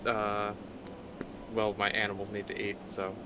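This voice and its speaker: A man's voice: lowish voice, monotone, unhurried, pushed and bright, slight background noise, poor audio. A man bantering casually as a co-commentator in a voice chat.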